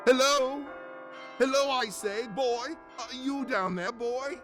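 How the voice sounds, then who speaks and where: A man playing a reformed old miser in a radio drama, giddy with joy and stammering as he excitedly repeats himself. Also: a little high, unhurried, music in the background. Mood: exultant, eager. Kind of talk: theatrical radio drama acting.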